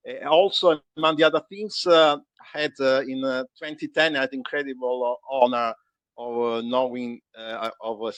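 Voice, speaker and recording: A man from Calabria speaks English with an Italian accent, his native language being Italian. His voice sits at an average pitch in a dry, close sound. He recounts anecdotes conversationally, restarting sentences and hunting for the next word. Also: steady tone, a bit bright, no echo. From Calabria, Italy. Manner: halting, searching for words, restarting sentences. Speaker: tour guide being interviewed.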